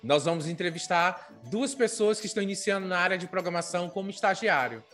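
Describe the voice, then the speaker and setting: Man, podcast host, fairly high voice, steady questioning, soft music behind. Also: fast. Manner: steady, filler-heavy questioning. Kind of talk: podcast interview.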